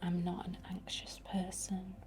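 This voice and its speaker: A woman with a Northern English accent speaking in a breathy whisper, gentle and unhurried, in the reassuring style of spiritual ASMR. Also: on the low side, completely flat delivery, background noise. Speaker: ASMR creator and witch.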